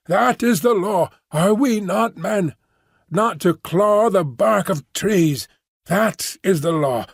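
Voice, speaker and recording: A man voicing a hunched male beast-man with a thick voice and a whistling overtone, intoning slowly in a sing-song. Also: sits high, dry recording, studio quality, pitched above the performer's own voice, full character voice.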